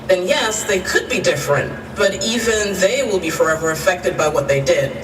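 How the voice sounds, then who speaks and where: A woman's voice: lowish voice, animated, moderate pace, close to an everyday voice. A woman playing a patient, thoughtful schoolteacher in a radio drama. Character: female schoolteacher, patient and thoughtful, leading class discussion. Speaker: teacher. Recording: echoey hall, distant, noisy.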